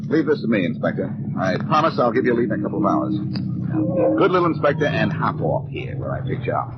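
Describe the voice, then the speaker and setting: A self-assured American radio detective with a deepish voice that swings freely. He is breezy and teasing, always ready with a wisecrack. The old recording is dull and buried in noise. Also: wildly swooping pitch, fairly quick. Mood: brisk, assured. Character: cool, wisecracking, self-assured male amateur sleuth. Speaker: radio drama lead actor.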